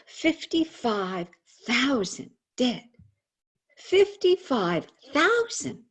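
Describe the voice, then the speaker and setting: An actress plays a celebrated advice columnist, a frank and wryly sorrowful woman confiding in a stage monologue. Her delivery is slow, with dramatic pauses and a very lively pitch. The voice is husky. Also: full character voice. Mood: indignant, mournful. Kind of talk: theatrical monologue, staged reading. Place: indoors, remote video call. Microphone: video call microphone.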